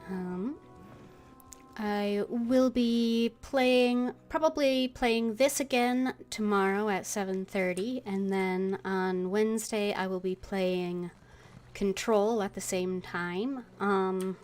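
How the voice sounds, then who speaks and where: A woman game streamer chatting casually on a livestream in a hesitant, halting way. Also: mid-range voice, steady tone, a little mellow, faint music behind.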